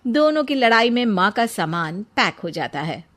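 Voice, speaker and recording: A woman's voice: medium pitch, very animated, moderate pace, a bit bright. A woman reading a scripted cartoon story as its narrator.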